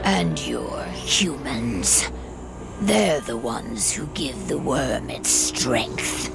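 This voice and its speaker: A woman playing a proud, menacing werewolf pack leader in a video game, commanding, with a gravelly, breathy growl. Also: very deep voice, very animated, music in the background, exaggerated voice. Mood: stern, menacing. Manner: slow, commanding. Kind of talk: video game cutscene acting.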